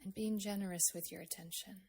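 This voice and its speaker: Husky woman guiding a slow meditation. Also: mid-range voice, fairly even intonation. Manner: gentle, unhurried, with long pauses. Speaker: meditation guide. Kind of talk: slow guided meditation, with a poem recited.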